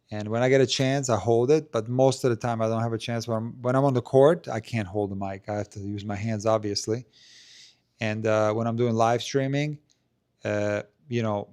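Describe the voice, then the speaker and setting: A man with a low, soft voice hosts a live stream, answering viewer questions in a chatty, loose way. He hedges often, restarts sentences and fills gaps with "like" and "you know". The handheld mic held close keeps his voice near and clear. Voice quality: low, not strong voice. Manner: chatty, hedging, many fillers and restarts. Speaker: tennis channel host. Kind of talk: live stream Q&A, casual chat. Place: indoors, talking to camera.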